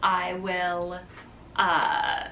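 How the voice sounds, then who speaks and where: Young woman, casual vlog, upbeat and chatty, lowish voice, roomy echo, a bit far from the mic. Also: monotone, drawn-out words, sharp tone, a little hiss or hum. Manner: chatty and upbeat, many fillers like "um" and "like". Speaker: vlogger. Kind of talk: casual talk to camera. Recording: echoey room, voice a bit off-mic.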